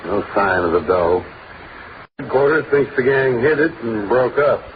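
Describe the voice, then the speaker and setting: A man's voice: mid-range voice, lively intonation, measured pace, close to an everyday voice. An actor playing a genial, wry male patrol cop in an old radio crime drama. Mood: wry, matter-of-fact. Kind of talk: 1950s radio drama acting. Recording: tinny, very noisy old radio broadcast.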